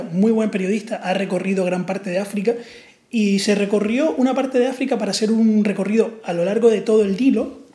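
A man's voice: high-pitched, a little mellow. A man with a Canarian Spanish accent, co-hosting a podcast and telling stories in a reflective, hesitant way. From Canary Islands. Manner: reflective, storytelling, hesitant fillers. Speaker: podcast co-host. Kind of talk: casual conversation. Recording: roomy echo.

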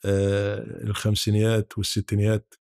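Interview guest: Egyptian male, seventies, lowish creaky voice, reminiscing haltingly with restarts. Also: monotone, a little mellow, no room sound, studio quality. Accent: rural-born Egyptian Arabic. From Sharqia, Egypt. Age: 70s. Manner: halting, with repeated false starts. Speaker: podcast guest, academic.

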